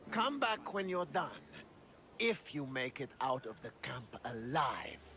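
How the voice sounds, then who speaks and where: A man's voice: mid-range voice, sing-song, takes it slowly, bright, forceful tone, slight background noise, full character voice. An actor playing a jealous, lovesick man in a video game, giving orders in a haughty, sneering, defensive manner. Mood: scornful. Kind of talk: video game dialogue acting.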